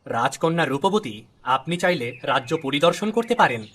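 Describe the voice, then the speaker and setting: Man as a bright-voiced, loyal royal minister. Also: a little high, expressive, normal speed. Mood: respectful. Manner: formal, dutiful. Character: loyal, deferential royal minister serving the king.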